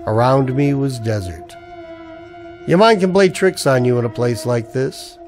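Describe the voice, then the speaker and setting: A man's voice: medium pitch, expressive, a little slow, darkish tone, faint music behind. A man playing a wary, hard-up army-veteran husband in a radio drama, with a sardonic, colloquial delivery. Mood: wry, uneasy. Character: broke, wary army-veteran husband narrating his noir misadventure. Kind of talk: old-time radio drama acting and first-person narration.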